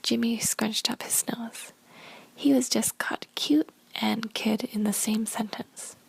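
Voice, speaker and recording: A woman reading a first-person story aloud in a soft, unvoiced whisper. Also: on the high side, fairly even intonation, takes it slowly, gravelly, slightly rough, no echo, rough recording. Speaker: story reader.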